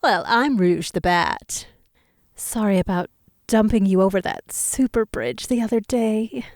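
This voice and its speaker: A woman's voice: mid-range voice, measured pace, dry recording, clean studio sound, natural voice. A woman playing a flirty, sly female bat spy in a fan audio drama, with a coy, dramatic delivery.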